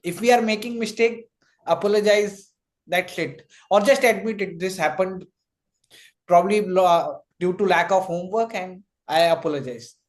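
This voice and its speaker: A man's voice: high voice, normal speed. A man hosting a casual interview, agreeing and summing up points. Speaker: host.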